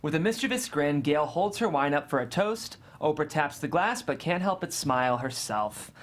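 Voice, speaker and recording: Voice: mid-range voice, lively intonation, normal speed. A narrator reading screenplay stage directions in a matter-of-fact, descriptive way.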